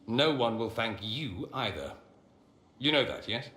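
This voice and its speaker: An actor playing a witty, proud mage in a video game, speaking in a theatrical, sardonic and articulate manner. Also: male voice, mid-range voice, expressive, unhurried, bright, forceful tone. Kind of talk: dramatic video game dialogue. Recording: roomy echo, faint ambient noise.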